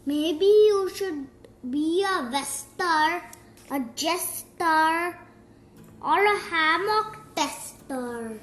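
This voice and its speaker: Young girl, storybook read-aloud, very slow pace, sing-song, deliberate, echoey room. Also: very high voice, expressive, a bit bright. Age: child. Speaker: young reader of picture books. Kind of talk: read-aloud storytime narration of rhyming verse. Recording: roomy echo, music playing behind. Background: music.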